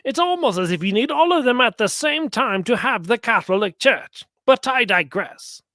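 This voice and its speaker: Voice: medium pitch, lively intonation, normal speed, pushed and bright, no room sound, clean studio sound. A commentator giving sarcastic religious reactions to clips, mocking and often acting out imagined exchanges.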